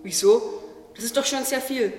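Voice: mid-range voice, normal speed, lightly acted. An actor playing a naive, curious talking tree in a religious radio play, earnest and questioning. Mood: doubtful. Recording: echoey, distant, long reverb, music behind.

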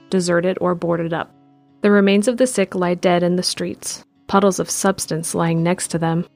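A woman giving steady scripted narration of an audio drama in the present tense. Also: on the low side, vocal fry, no room sound.

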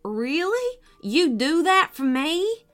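Woman acting a slow, mournful tired farmer. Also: on the high side, sing-song, bright, forceful tone, exaggerated voice. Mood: hopeful. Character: sad, tired farmer man, gloomy and soft-hearted.

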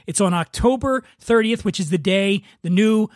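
A man's voice: sits high, normal speed, no echo, clean studio sound. A man hosting a casual comedy podcast, chatting with a joking, teasing manner. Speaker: podcast host.

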